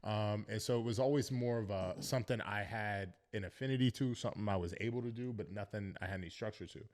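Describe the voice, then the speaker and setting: A young man from Northern Virginia talks as a podcast guest in a thoughtful, earnest way. He often circles back to make sure he is understood, in a fairly low voice. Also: fast, a little mellow. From Northern Virginia, United States. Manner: reflective, earnest, leans on "you know what I mean". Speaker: podcast guest, professional football player. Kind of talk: casual interview chat.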